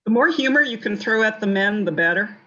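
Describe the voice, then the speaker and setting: A woman's voice: medium pitch, animated, a little fast, sharp tone, lo-fi sound. A woman giving advice on a discussion panel in an assertive, wry and humorous way. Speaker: round table panellist.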